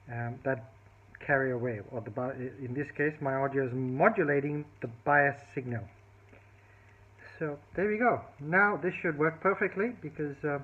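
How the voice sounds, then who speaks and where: A man casually talking through a hands-on electronics repair demonstration step by step, as a hobbyist showing a fix. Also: on the high side. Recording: tinny, narrow-band phone-like sound.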